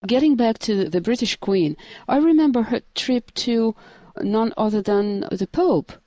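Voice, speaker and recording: A woman's voice: fairly high pitch, expressive, unhurried, mellow, dry recording. A woman hosting a radio interview, putting questions and observations to a guest.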